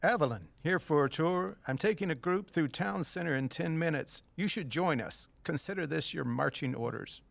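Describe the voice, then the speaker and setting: A man's voice: a little high, moderate pace, creaky, hardly any pauses, no echo. An actor playing a hearty, fussy middle-aged tour guide and father in an audio drama, genial and a little formal.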